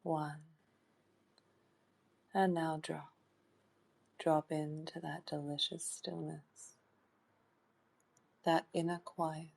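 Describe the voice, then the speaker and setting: A woman leading a guided meditation in a soft, soothing voice that sometimes drops toward a whisper, in short phrases with long pauses. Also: low-pitched, gravelly, low-quality recording. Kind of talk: guided meditation, hypnotic trance induction.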